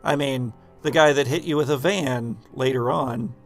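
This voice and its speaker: A man hosting a tabletop horror game as game master, improvising dramatic narration with halting pauses mid-sentence. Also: mid-range voice, gravelly, soft background music.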